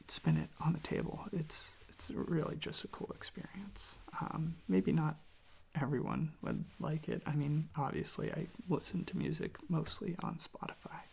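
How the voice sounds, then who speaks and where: A soft-spoken ASMR host rambling casually in a gentle, hesitant, self-deprecating way, with a breathy, husky, whispery voice close to the microphone. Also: mid-range voice. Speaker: host of a relaxation channel showing records.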